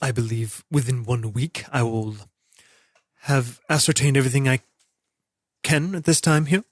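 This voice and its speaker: A man's voice: mid-range voice, moderate pace, no echo, clean studio sound, lightly acted. A man playing a dutiful military lieutenant in a tabletop game, formal and deferential in manner. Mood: stiffly deferential.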